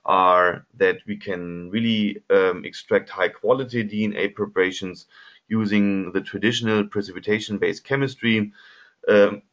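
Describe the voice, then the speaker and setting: A man's voice: lowish voice, fairly bright tone. A man with a German accent presenting a product webinar over slides, hesitant with many ums and restarts. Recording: slightly dull lo-fi webinar audio.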